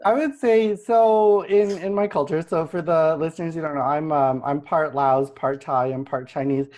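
Podcast host running a group chat, talks casual and laughs a lot, middle pitch. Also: steady tone, darkish tone. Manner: chatty, laughs often, quick asides.

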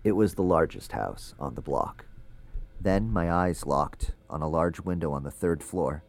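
A man's voice: extremely low pitch, lively intonation, unhurried, gravelly, heavily acted. An actor playing a ghost hunter who narrates his investigation in a scripted first-person audio drama. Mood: measured, observant.

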